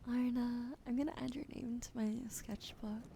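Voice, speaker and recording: A woman whispering softly and sleepily on an ASMR livestream. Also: fairly high pitch, fairly even intonation, gravelly, a little hiss or hum. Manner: soft, slow, sleepy whispering. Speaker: ASMR streamer.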